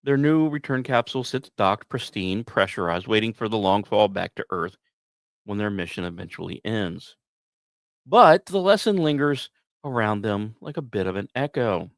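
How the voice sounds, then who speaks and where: A man hosting a podcast, delivering scripted storytelling narration with dramatic emphasis and deliberate pauses. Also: on the low side, very animated, no room sound. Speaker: podcast host.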